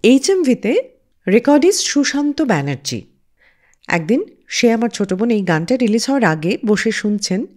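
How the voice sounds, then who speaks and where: Expressive woman radio host, lively high voice. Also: normal speed. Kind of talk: music radio presenting with anecdotes.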